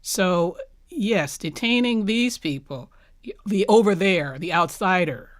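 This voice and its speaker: Female interview guest, playwright type: lowish voice, emphatic, measured phrasing, close dry sound. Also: animated, no echo. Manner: emphatic, deliberate, articulate. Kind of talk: reflective panel interview.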